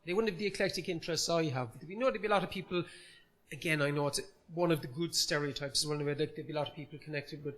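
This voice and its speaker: A man's voice: on the high side, rapid-fire, a bit bright. A man in his forties with a Cork accent, a comedian guest chatting on a live podcast, rambling through self-deprecating asides. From Cork City, Ireland. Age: 40s. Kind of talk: casual podcast chat. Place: comedy club, live recording.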